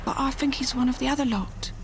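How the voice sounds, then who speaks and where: A woman's voice: sits high, slight background noise, voice pushed up. A woman voicing a bored, mischievous wife with a small, tremulous voice, in an audiobook story. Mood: rapid, conspiratorial. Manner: deadpan appeal, drawn-out words.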